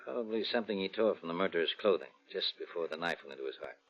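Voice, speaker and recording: An actor playing a confident, argumentative police investigator, punchy and needling, in 1940s radio detective drama style. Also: male voice, low-pitched, lively intonation, racing. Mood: confident, intent. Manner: punchy, challenging. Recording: muffled vintage radio recording.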